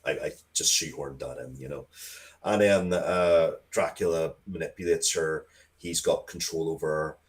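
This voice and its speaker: A man chatting casually as an interview guest, telling rambling, excitable anecdotes with repeated phrases and the odd swear word. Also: rumbling low, moderate pace. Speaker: interview guest, writer of comics and audio drama.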